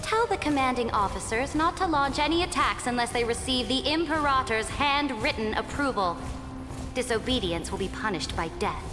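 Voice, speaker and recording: A proud, resolute female imperator speaks with measured authority. Her formal game dialogue rings in a long reverb, with music clearly behind it. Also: high voice, animated. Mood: stern, resolute.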